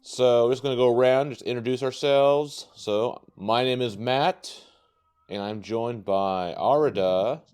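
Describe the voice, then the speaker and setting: A man's voice: medium pitch, a little slow, darkish tone, clean tone. A man hosting a casual podcast, rambling with lots of fillers and easy laughs. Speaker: podcast host.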